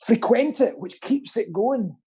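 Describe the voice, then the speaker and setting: A man's voice: very high voice, animated, unhurried, dark tone, husky. A man chatting casually as a guest, rambling and earnest, with a self-deprecating streak. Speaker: guest, pantomime actor and theatre stagehand. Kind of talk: casual chat.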